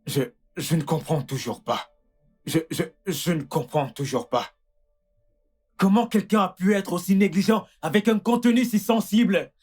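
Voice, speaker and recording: A man playing an indignant husband who is quick to anger and stammers and repeats himself, in dubbed film dialogue. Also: mid-range voice, a little mellow, husky, faint music behind. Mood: bewildered, troubled.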